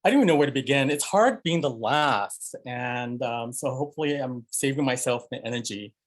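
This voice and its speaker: A man's voice: mid-range voice, brisk. Hesitant man opening his panel remarks. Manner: hesitant, searching for words.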